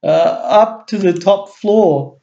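A man's voice: high-pitched, steady tone, takes it slowly, creaky, darkish tone, lo-fi sound, voice pushed up, full character voice. A man voicing a nervous, chubby young security guard who stammers and squawks in panic. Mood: terrified.

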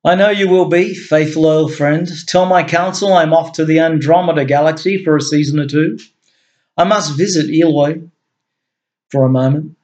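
A man's voice: fairly high pitch, moderate pace, a little mellow, muffled recording, voice pushed up, close to an everyday voice. A man voicing a gracious old alien king who speaks kindly to a trusted servant.

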